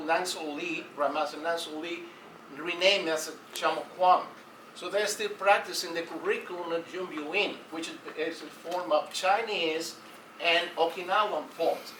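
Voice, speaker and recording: Cuban man speaking haltingly from the floor. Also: sits high, bright, forceful tone. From Cuba. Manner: halting, many fillers and restarts. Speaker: audience member at academic taekwondo conference. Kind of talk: unscripted comments from the floor. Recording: roomy hall from a distance with faint noise.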